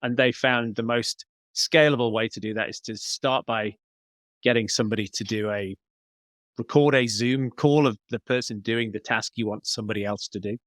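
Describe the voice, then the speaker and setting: Guy, podcast guest, explaining stuff and thinking out loud, normal pitch, no room echo. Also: fairly even intonation, moderate pace. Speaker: podcast guest, business coach. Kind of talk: conversational interview answers.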